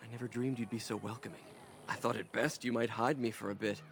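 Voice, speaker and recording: An actor playing a humble, earnest young city elf in conversational video game dialogue. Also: male voice, medium pitch, fairly even intonation, quick pace, slight background noise, close to an everyday voice. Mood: rueful, sincere. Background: game music.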